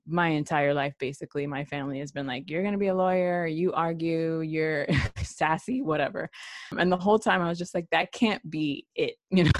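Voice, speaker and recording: A young woman speaks in a notably low voice, recorded close and dry with no room sound. She tells her story in a loose, chatty way, full of fillers and quick laughs. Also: no echo. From born in Puerto Rico, raised in the Bronx, New York. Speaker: attorney, podcast guest. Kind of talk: casual interview storytelling.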